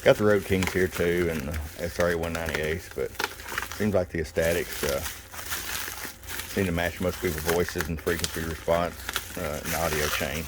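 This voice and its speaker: Man, very low pitch, casual product review, folksy and unhurried, some background noise. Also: fairly even intonation. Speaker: shop owner presenting product. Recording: clear background noise and room ambience.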